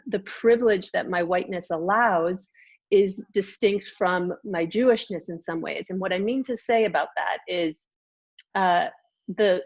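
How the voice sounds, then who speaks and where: An American woman reflecting personally as a panel guest, measured and thoughtful, pausing to choose her words. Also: medium pitch, no echo, muffled recording. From Chicago, United States. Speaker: panel guest, artist and educator.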